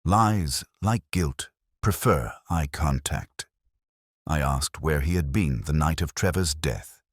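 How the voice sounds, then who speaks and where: A man reading scripted first-person detective fiction in a measured, literary, brooding manner. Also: very deep voice, no room sound, studio quality. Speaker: narrator.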